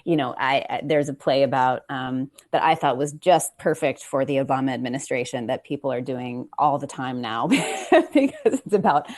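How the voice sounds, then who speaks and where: A woman panelist explaining her work in an animated, casual way. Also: very deep voice, moderate pace, hardly any pauses. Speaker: panelist, playwright. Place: indoors on a video call.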